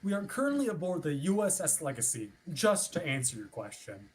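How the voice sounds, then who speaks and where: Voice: mid-range voice, big pitch swings, a little fast, lightly acted. An actor in a staged play reading playing a chipper, rule-bound ship officer who is formal, wordy and politely stubborn. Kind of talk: staged reading of a play over video stream.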